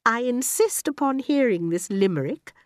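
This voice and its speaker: Woman playing a gentle, high-voiced lady schoolteacher. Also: lively intonation, moderate pace, dry recording, studio quality, natural voice. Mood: curious, insistent. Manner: kindly, prim, attentive. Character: gentle, encouraging young female schoolteacher.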